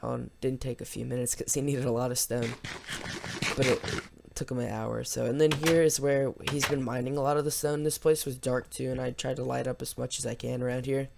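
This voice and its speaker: A man with a slightly hoarse voice giving casual, rambling, unscripted let's play commentary as host of a gaming video. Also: mid-range voice, flat delivery, brisk, noisy background. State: slightly hoarse after losing voice from yelling.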